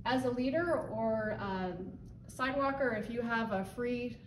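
A woman's voice: on the high side, unhurried, a little hiss or hum. Woman explaining a barn board, roomy. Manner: explanatory, pointing at a whiteboard while talking, frequent ums. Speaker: volunteer trainer. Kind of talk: instructional walkthrough. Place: indoor barn office with a wood-paneled wall. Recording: roomy, slightly distant sound with faint ambience.

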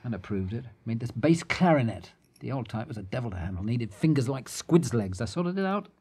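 An actor playing a boastful, eccentric old inventor who rambles and brags in a radio drama. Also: male voice, medium pitch, fairly quick, slightly rough. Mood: boastful, indignant. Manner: animated, bragging, rambling.

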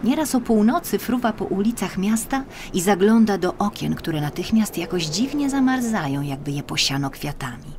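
A woman voicing an old grandmother who tells of wonders in a gentle, measured, mysterious way. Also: medium pitch, lively intonation, a little hiss or hum, natural voice.

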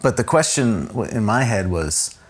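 A man telling the story of his own kidnapping in a conversation, halting and repeating himself with many fillers as he thinks aloud. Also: fairly low pitch, sing-song, husky. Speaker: interview guest recounting a kidnapping.

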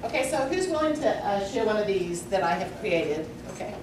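A woman's voice: medium pitch, sing-song, fairly quick. An older woman leading a workshop in a large lecture hall, explaining the plan step by step in a friendly way. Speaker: workshop facilitator. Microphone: far camera. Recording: echoey lecture hall from far off, noisy.

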